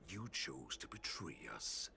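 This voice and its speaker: An actor playing an ancient, menacing monster wolf warlord in a video game, speaking in a gravelly, haughty, commanding way. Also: male voice, expressive, slow pace, background noise. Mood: contemptuous. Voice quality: very deep, gravelly.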